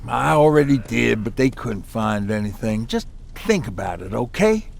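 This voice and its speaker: A man playing a friendly, eager survivor in a video game, gravelly and husky, with a coaxing manner. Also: medium pitch, big pitch swings, moderate pace, a little hiss or hum.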